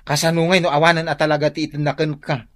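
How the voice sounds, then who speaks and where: A man's voice: fairly high pitch, flat delivery, fast talker, a bit bright, lightly acted. A young man playing an opinionated student boarder in a radio drama, exclamatory, with a Filipino accent.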